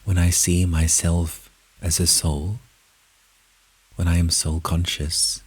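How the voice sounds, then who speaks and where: A man speaks in a very low, extremely breathy and husky voice. He leads a guided meditation at a very slow pace, placing each short phrase deliberately and leaving long pauses between them. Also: completely flat delivery, poor audio.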